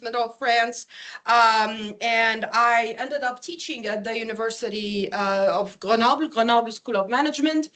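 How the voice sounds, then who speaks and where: A high-pitched woman's voice presents to a live audience as a visiting academic. She grew up between Ukraine and Lebanon, speaks Ukrainian and Russian natively and has a foreign accent. Her delivery is informal and chatty, often turning to listeners with questions. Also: a bit bright.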